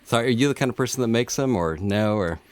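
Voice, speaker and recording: A man's voice: mid-range voice, lively intonation, fast talker, no room sound. A middle-aged man with an American accent co-hosting a talk show in a casual, conversational, agreeable way. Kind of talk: casual chat.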